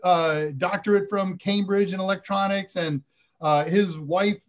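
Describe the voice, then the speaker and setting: Older man with a fairly high voice. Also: measured pace, lo-fi sound. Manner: relaxed, chatty, reads viewer questions aloud. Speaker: host. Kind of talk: live stream Q&A chat. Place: home room with curtains. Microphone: video call webcam.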